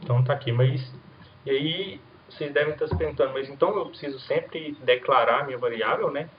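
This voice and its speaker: A man's voice: mid-range voice, brisk. A man teaching an introductory programming class, explaining step by step in a casual way and often checking in with "right?". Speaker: programming instructor. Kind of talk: recorded coding lesson with screen demo. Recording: roomy, dull lo-fi sound, faint hiss.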